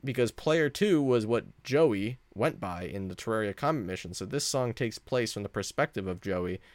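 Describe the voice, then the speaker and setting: A man with a deep voice hosting a casual solo podcast, talking in a rambling, conversational and self-deprecating way. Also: lively intonation, normal speed, dry recording. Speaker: podcast host.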